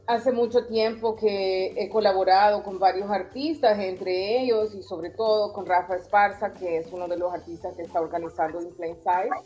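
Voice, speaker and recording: A woman's voice: mid-range voice, fairly even intonation, moderate pace, hardly any pauses, dark tone, faint music behind. Earnest Salvadoran woman, slightly dull audio. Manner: thoughtful, earnest, occasional restarts. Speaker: professor and visual artist, interview guest. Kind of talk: conversational interview answers.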